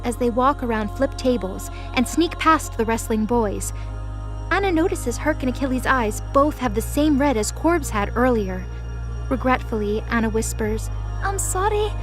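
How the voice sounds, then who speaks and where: Female storyteller, pretty high voice, scripted dramatic narration w music under it.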